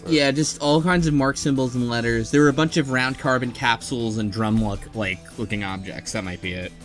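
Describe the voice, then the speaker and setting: A man with a medium-pitched voice speaks as a podcast co-host. His delivery is conversational and a little halting. Soft music sits faintly behind him. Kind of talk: casual discussion.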